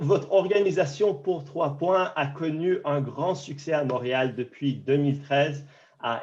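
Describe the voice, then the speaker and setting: Formal host, dull lo-fi sound. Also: male voice, a little high, a little mellow. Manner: formal and measured. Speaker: interviewer and host. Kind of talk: reading an introduction, then asking questions.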